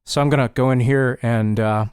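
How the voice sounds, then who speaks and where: A man with a clean, smooth voice walks through a software demonstration in a casual, offhand way. He is a little self-deprecating as he explains. The sound is dry, with hardly any room. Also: mid-range voice, steady tone, normal speed, no echo. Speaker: tutorial presenter.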